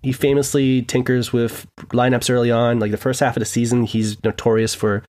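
A man explaining his own article as a guest on a casual podcast, in a measured, slightly rambling way. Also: mid-range voice, little pitch movement, darkish tone. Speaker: guest writer.

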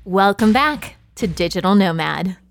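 A woman's voice: mid-range voice, animated, unhurried, creaky, over loud music. A woman hosting and narrating a podcast, playful and teasing, laughing often between questions. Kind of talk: scripted narration mixed with interviews.

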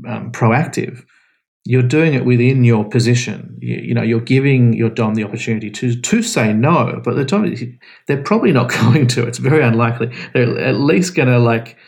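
A man with a gravelly, creaky voice and a middle pitch talks through advice in a relaxed, explanatory way. He restarts his sentences often as he thinks aloud in a casual podcast chat. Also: normal speed. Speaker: guest answering questions.

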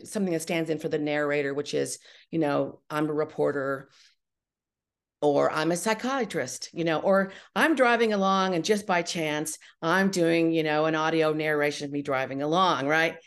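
Lower-pitched woman explaining her craft. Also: normal speed. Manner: explanatory, often checking with "right?" and "you know". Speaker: guest, audio drama writer-director. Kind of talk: conversational interview answers, explaining craft.

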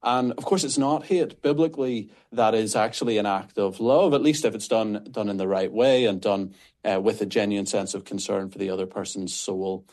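A man with a Northern Irish accent speaks as a guest pastor in an interview. His voice sits a little low, and he answers earnestly, stumbling over restarts and fillers as he works through his points. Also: normal speed, darkish tone. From Northern Ireland.

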